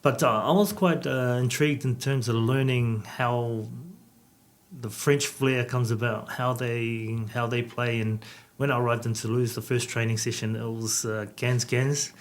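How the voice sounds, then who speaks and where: A man, a Samoan speaker, giving calm, measured interview answers with many hesitations. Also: mid-range voice. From New Zealand. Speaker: rugby coach, guest.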